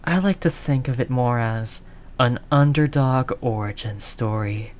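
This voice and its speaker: A woman playing a tough, doting mafia heiress girlfriend in an intimate audio roleplay, low-voiced, talking in affectionate slangy tough talk. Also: unhurried, a little mellow. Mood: amused, loving.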